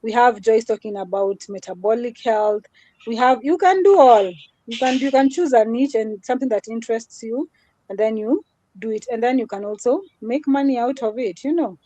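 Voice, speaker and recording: A woman's voice: fairly high pitch, normal speed, mellow, rough recording. A woman with a small voice, giving an earnest motivational talk as a guest speaker in a live session. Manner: earnest, repetitive, mixes Swahili into English.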